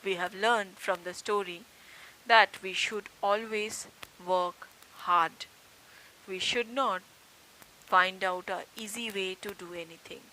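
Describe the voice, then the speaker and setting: A woman's voice: medium pitch, sharp tone, rough recording. A woman teacher telling a fable to young children and explaining its moral. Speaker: kindergarten teacher. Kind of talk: storytelling lesson for young children. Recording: noticeable background hiss.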